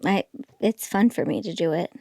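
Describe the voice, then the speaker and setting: A woman's voice: medium pitch, moderate pace, warm tone, no room sound. A woman in her thirties, a stand-up comic and pregnant guest, chatting casually with a small, light voice, laughing often and trailing into fillers. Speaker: guest comedian. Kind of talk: casual podcast chat.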